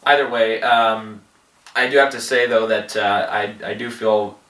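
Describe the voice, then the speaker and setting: A man with a head cold rambling through movie commentary, halting with many fillers and restarts. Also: on the low side, steady tone, fairly bright tone, far from the mic. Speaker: movie box office vlogger. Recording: echoey room, voice off-mic.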